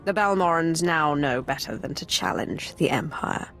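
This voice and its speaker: Female Sith warrior: cold, menacing, dramatic swings in pitch, faint music behind. Game role. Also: animated, moderate pace, vocal fry, fairly bright tone, heavily acted. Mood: gloating menace. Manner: menacing, declarative. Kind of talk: video game cutscene acting.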